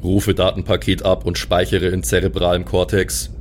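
Man acting as robot killer, low-pitched, cold, logical, clipped formal wording, improvised audio play, noisy recording. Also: monotone, normal speed, lightly acted. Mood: cold, matter-of-fact. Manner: stiff, formal, technical phrasing. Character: coldly logical killbot, a terse machine bounty hunter. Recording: clearly noisy background.

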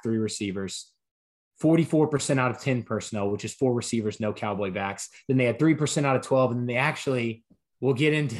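Young male co-host, easygoing, matter-of-fact stat breakdown, mid-range voice. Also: medium pitch. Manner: relaxed, stat-heavy breakdown. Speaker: podcast co-host. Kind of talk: casual sports podcast analysis.

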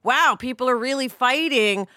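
A woman talking casually as a podcast host, animated and conversational, often checking that others agree. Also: high-pitched, moderate pace, dry recording, clean studio sound.

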